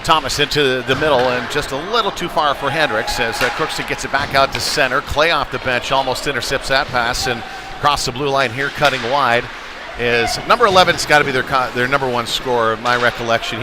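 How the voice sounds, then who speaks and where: A man giving live play-by-play commentary of a youth hockey game, following the action and lifting his voice at big plays. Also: fairly quick. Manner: running description with excited shouts at big plays. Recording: noisy arena with rumble and thuds.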